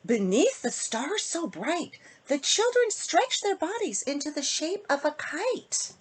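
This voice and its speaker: A woman children's author with a slightly husky voice, reading a storybook aloud to young listeners and chatting to them in an animated way. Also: high-pitched, unhurried, rough recording. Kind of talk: casual intro and expressive storybook reading to children.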